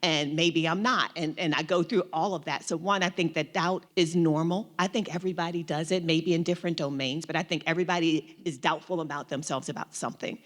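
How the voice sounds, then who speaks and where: A woman panelist giving earnest, reflective advice, often repeating words as she goes. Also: on the low side. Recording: reverberant hall.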